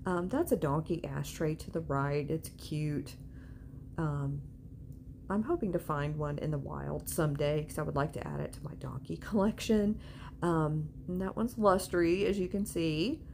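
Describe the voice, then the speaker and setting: A woman's voice: deep voice, a little slow, darkish tone. A woman giving a casual, chatty shopping vlog voice-over in an informal way. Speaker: vlogger and antiques reseller. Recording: clear background noise.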